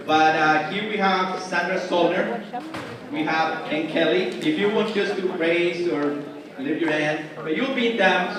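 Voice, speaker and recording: Male conference MC, halting and informal, fairly high voice, heard distantly over clear crowd sound. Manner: halting, searching for words. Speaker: event host. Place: large echoing event hall with audience. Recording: very echoey hall, distant, audience murmur.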